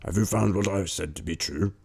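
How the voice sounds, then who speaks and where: A man playing a gentle, wise old exiled king and grandfather in an amateur radio drama, speaking in a measured, formal, deliberate way. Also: deep voice, vocal fry, slightly rough, exaggerated voice. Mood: thoughtful.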